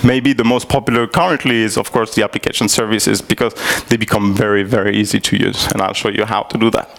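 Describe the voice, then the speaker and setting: Gravelly man giving a tech talk. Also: on the low side, fairly even intonation, quick pace, a bit bright. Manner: hesitant, many fillers and restarts. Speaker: tech conference speaker. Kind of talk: live technical presentation. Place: conference hall.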